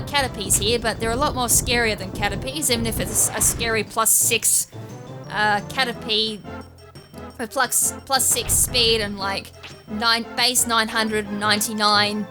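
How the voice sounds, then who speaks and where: Female gaming host, slightly high voice, casual and rambling with an occasional stutter, clear music behind her. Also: poor audio. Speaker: gaming YouTuber, series host. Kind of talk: casual video game walkthrough commentary. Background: game music.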